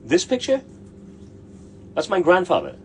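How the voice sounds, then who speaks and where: A man's voice: sits high, huge pitch swings, a little slow, a little mellow, slight background noise. Brash, blunt man swearing freely. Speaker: tailor answering viewer questions. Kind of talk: casual livestream Q&A.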